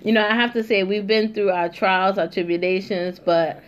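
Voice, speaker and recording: A woman's voice: medium pitch, fairly even intonation, moderate pace, lo-fi sound, a crowd in the background. A young woman hosting a casual chat, talking loosely with many asides and fillers. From New York. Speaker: host.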